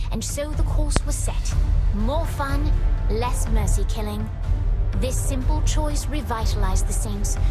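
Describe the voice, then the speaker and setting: A woman voicing a refined game narrator with a measured, stately delivery. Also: high voice. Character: refined, grand-sounding female storyteller. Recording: game music underneath.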